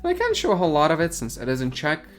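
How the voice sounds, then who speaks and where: Sardonic YouTube commentator, lively pitch. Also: male voice, rapid-fire, faint music behind. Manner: dry, mocking, deadpan asides. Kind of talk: sarcastic commentary. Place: garage.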